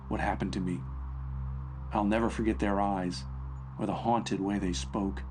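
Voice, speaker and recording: A man reading a scripted first-person horror story as its narrator. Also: fairly low pitch. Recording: narration over background music.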